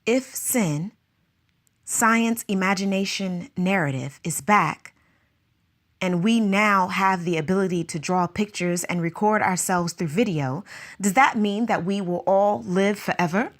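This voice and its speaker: An actress playing a conflicted teacher of circus students, speaking softly and reflectively in an audio drama. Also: female voice, medium pitch, a little slow, natural voice. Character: female circus school teacher, conflicted and wistful.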